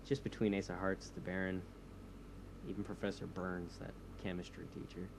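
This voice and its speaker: A man's voice: low voice, little pitch movement, moderate pace, creaky, background noise. A man playing an insecure young superhero leader, hesitant and earnest, in naturalistic audio drama dialogue. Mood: bitter. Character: insecure young male superhero leading a movement.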